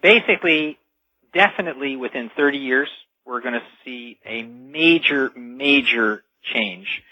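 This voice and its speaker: A man's voice: medium pitch, measured pace, pushed and bright, dry recording. A man speaking as an expert guest in a radio interview, explaining earnestly and emphatically, repeating key phrases. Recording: dull, dry lo-fi radio audio.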